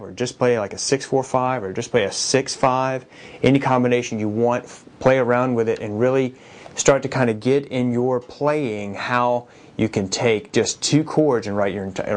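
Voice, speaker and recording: A man giving a casual guitar lesson, explaining chord progressions and transposition in a relaxed, conversational way while he plays. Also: mid-range voice. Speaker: guitar teacher. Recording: loud guitar under the voice. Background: guitar played by the speaker.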